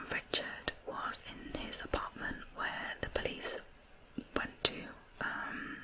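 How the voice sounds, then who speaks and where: A person narrating true crime in ASMR style, whispering softly with deliberate, unhurried phrasing. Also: rough recording.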